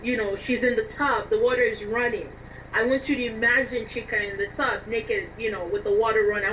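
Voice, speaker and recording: A woman being interviewed, explaining things in an expressive, emphatic conversational way over a distant, dull and noisy connection. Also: a little high. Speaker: interview guest, scriptwriter.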